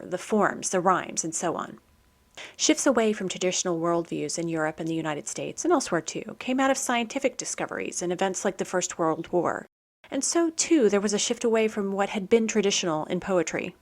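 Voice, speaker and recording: A woman hosting a poetry program, reading scripted literary commentary and verse in a measured, explanatory manner. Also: fairly low pitch.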